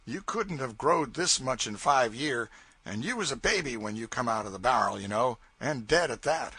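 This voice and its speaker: A gruff raftsman boss questions a stowaway in a commanding, no-nonsense way, as a man reads him aloud. The voice is bright and slightly husky, with lively pitch, and the recording is close and dry. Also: normal speed, no echo. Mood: stern. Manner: gruff, commanding, then lenient. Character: stern but fair older raftsman leader.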